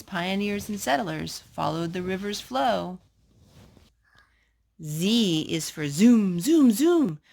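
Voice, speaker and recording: A woman's voice: mid-range voice, gravelly. A woman hosting a children's storytime, reading picture books aloud and chatting with a young child through simple questions and asides. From North Carolina.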